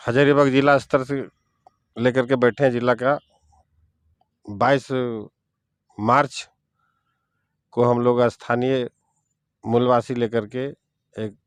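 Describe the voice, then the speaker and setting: A man with a Jharkhand Hindi accent giving an interview statement as a local politician, in halting short phrases. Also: medium pitch, smooth, no room sound.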